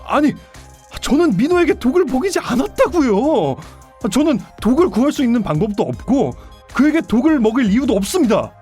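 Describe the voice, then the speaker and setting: A man's voice: sits high, sing-song, moderate pace, darkish tone, slightly rough, soft background music, full character voice. A man playing an indignant baseball player accused of a crime in an audio drama, pleading and protesting emphatically.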